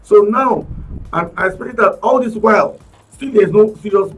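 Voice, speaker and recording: A Nigerian man arguing as a studio guest on a radio talk show, insistent and quick, repeating words as he presses his point. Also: sits high, husky, distant mic, poor audio. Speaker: studio guest, media analyst.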